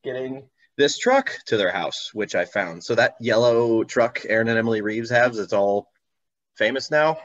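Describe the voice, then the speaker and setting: Give this a man of middling pitch in a casual video chat. He rambles through stories with a joking, self-deprecating manner. The audio is a little dull, like an online call. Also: normal speed, a bit bright. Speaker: guest, car enthusiast and YouTuber.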